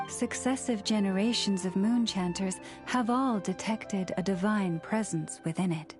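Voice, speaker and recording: Woman acting a young moon priestess, game cutscene, unhurried, solemn, gentle, formal, reverent, faint music behind. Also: mid-range voice, natural voice. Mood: earnest, formal.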